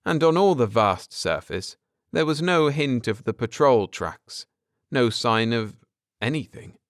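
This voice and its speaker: A man narrating a science fiction story as an audiobook, with theatrical, deliberate phrasing and dramatic emphasis. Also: mid-range voice, lively intonation, no room sound, studio quality.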